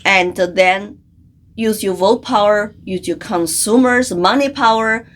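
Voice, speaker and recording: A woman's voice: medium pitch, slow pace. A middle-aged woman from China whose first language is Chinese, speaking as a guest in an interview, earnest, with many fillers and restarts. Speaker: guest, congressional candidate. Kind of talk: interview conversation.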